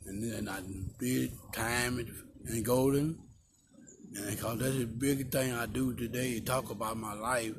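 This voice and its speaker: A man in his sixties with a Texan accent, telling his life story as a guest in slow, drawn-out speech outdoors. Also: medium pitch, steady tone. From Texas. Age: 60s. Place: outdoors in a park. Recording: noisy outdoor recording.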